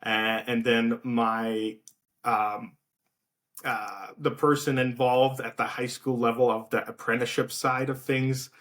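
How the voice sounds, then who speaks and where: A man's voice: mid-range voice, unhurried. A man from South Africa with a light South African accent, a guest talking casually in an interview with many fillers. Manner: relaxed, many fillers and restarts. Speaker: guest, outreach director.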